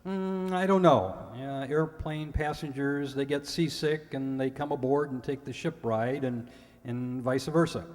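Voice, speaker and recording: A man's voice: medium pitch, fairly even intonation, a little mellow, close to an everyday voice. A man playing an earnest, literal-minded ship's purser who gives hesitant answers as a radio quiz contestant. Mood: matter-of-fact. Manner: hesitant, halting, plainly literal. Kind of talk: recreated old-time radio quiz show. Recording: echoey hall with long reverb.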